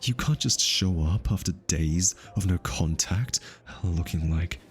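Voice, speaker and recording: A man playing an easily flustered, defensive, lovestruck hitman in an intimate ASMR roleplay, stammering, sighing and slipping into breathy whispers. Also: deep voice, unhurried, soft background music. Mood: exasperated.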